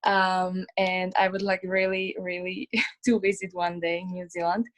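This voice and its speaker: A woman in the audience joins in on a video call. She speaks eagerly, though a little haltingly, in casual conversation. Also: on the low side, level tone. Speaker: audience member.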